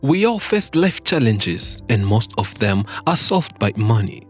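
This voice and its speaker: A man narrating a documentary from a script in a steady, measured way. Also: medium pitch. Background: background music.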